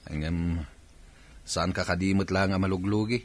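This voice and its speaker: A man's voice: deep voice, fairly even intonation, moderate pace, natural voice. A man playing a caring, mildly worried husband in a radio comedy drama, with an Ilocano accent. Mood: concerned.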